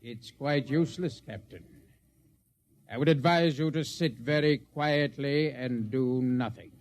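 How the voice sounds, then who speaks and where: An actor in a 1950s radio drama playing an alien agent who poses as a young ship's lieutenant, speaking in a formal, measured way. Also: male voice, mid-range voice, close to an everyday voice. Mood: cold, smug. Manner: measured, formal, coolly superior once unmasked. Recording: vintage 1950s radio recording with noise.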